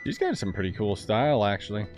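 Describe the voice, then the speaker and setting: A man doing casual, slangy gameplay commentary, excitable and prone to swearing, with game music behind him. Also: lowish voice, fast. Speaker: game streamer reacting. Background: video game music.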